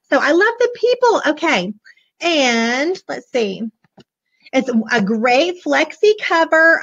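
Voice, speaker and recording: A bubbly woman in her forties talks in a hoarse, high voice while chatting with her live viewers about a children's book. The webcam audio is dull and lo-fi. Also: a bit bright. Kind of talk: casual live book show-and-tell. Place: small room indoors, decorated backdrop. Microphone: webcam at desk.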